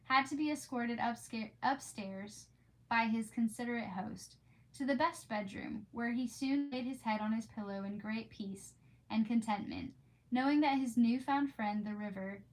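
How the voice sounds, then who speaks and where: A woman's voice: a little high, measured pace. A woman streamer who reads storybooks aloud and chats casually with viewers in an informal, gushing, rambling way. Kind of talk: storybook read-aloud and casual livestream chat. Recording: clearly noisy background.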